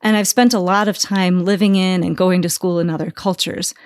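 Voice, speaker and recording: A woman hosts a podcast in a fairly low, measured voice. She speaks articulately and reflectively, recorded dry on a close microphone. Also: fairly even intonation, darkish tone, dry recording. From New Jersey, USA, raised partly in Tanzania. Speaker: podcast host. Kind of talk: reflective conversation.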